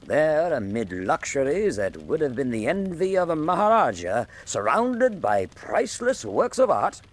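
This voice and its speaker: An actor playing the narrator of a Sherlock Holmes style detective mystery, measured and theatrical in his storytelling. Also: on the high side, big pitch swings. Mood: grave, dramatic. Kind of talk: dramatic scripted narration.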